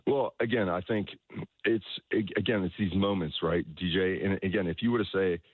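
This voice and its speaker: Low-pitched guy, soccer coach being interviewed, halting and repetitive, muffled phone-like sound. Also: fairly even intonation. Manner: halting, repeats words and often checks in with "right?". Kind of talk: conversational sports interview, explaining his coaching philosophy. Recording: roomy echo, dull lo-fi sound.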